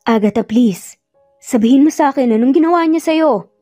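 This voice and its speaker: A woman's voice: sits high, animated, normal speed, dark tone, no room sound, faint music behind. A woman with a Filipino accent voicing an earnest, insistent man pleading with his lost love in a narrated romance.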